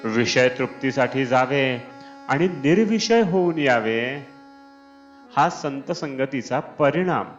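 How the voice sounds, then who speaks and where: A man reading a scripted spiritual discourse in a measured, deliberate manner. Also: mid-range voice, lively intonation. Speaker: reader of devotional discourses. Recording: dull lo-fi, Indian classical music behind. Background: Indian classical instrumental music.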